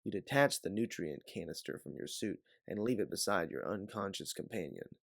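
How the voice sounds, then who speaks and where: A man reading scripted second-person story narration as an audiobook narrator, in a dramatic, deliberate way. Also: sits low, expressive, creaky, no echo, studio quality.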